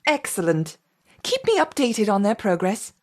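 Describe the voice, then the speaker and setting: A woman's voice: mid-range voice, huge pitch swings, normal speed, a little mellow. A woman playing a demanding, formal lead scientist in a video game, with clipped authority. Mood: brisk approval. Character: brisk, demanding female lead scientist overseeing an experiment.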